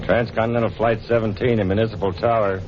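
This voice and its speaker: An actor playing a businesslike airline pilot in a 1940s radio drama, clipped and matter-of-fact. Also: male voice, lowish voice, steady tone, fast talker, natural voice. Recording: muffled vintage radio, clear cockpit-like noise.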